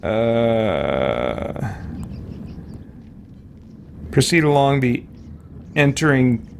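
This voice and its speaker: A man's voice: mid-range voice, sing-song, drawn-out words, creaky. Male streamer, mid-range voice, casual self-directed commentary, folksy and a little exasperated, faint noise behind. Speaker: game streamer. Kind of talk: casual gameplay commentary, muttering to himself.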